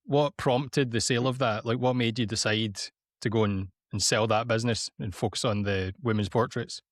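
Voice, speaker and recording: A man's voice: on the low side, steady tone, normal speed, dry recording, clean studio sound. A man chatting casually as a podcast co-host. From Scotland.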